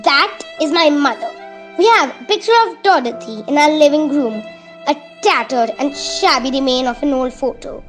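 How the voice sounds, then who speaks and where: A woman's voice: high-pitched, moderate pace, a bit bright, faint music behind. A woman playing a bold, fussy mother with a chatty, insistent manner in an amateur radio play. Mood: grave, defiant. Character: Doris, outspoken, fussy, bold village mother.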